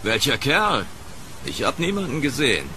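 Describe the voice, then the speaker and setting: A man's voice: mid-range voice, huge pitch swings, normal speed. An actor playing a grumbling but friendly policeman on night patrol in a horror radio drama, with a weary, familiar manner. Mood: puzzled. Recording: noisy rain ambience behind voice.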